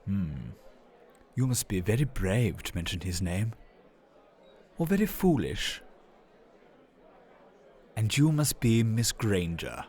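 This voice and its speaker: A man's voice: fairly low pitch, sing-song, darkish tone, heavily acted. A man playing a silky, condescending aristocratic wizard villain from fantasy film, sneering and drawing out his words. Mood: sneering, menacing. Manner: drawn-out, sneering.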